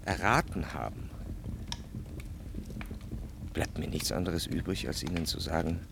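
An actor playing a jealous, sorrowful lover in a radio drama, solemn, with deliberate phrasing and heavy pauses. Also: male voice, low-pitched, gravelly, a bit bright, a little hiss or hum, exaggerated voice.

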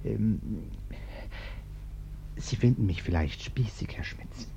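Low-voiced, hesitant bourgeois householder. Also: male voice, takes it slowly, gravelly. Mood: hushed, hesitant. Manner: awkward, hesitant, courteous. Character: hesitant, polite, respectable bourgeois householder host. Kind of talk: German radio play acting. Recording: muffled, noisy old radio recording.

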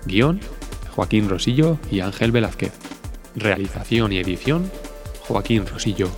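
A man's voice: on the low side, animated, a little slow, faint music behind. A man from reading scripted closing credits as an announcer.

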